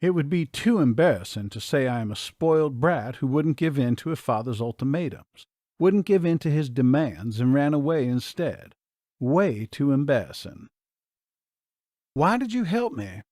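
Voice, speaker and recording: A man narrating a first-person romance novel in a slow, measured reading voice. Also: medium pitch, expressive, dark tone, no room sound, clean studio sound. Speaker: audiobook narrator.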